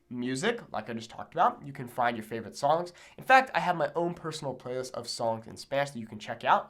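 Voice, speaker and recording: A man's voice: mid-range voice, a bit bright. A man giving an instructional talk to camera as a language teacher, emphatic and often checking in with the learner. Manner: emphatic, checks in with "okay?" and "right?".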